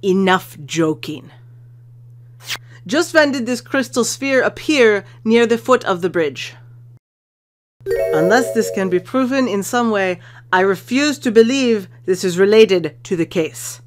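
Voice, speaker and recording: A woman's voice: medium pitch, expressive, a little slow, close to an everyday voice. A woman voicing a stern, haughty young prosecutor in a video game fan dub, imperious and dismissive. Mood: stern, accusing.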